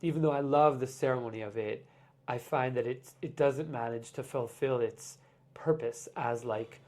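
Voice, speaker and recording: A man's voice: medium pitch, normal speed, darkish tone. Reflective man chatting as a podcast guest. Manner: reflective, explains with many "like" fillers. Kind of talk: casual conversation.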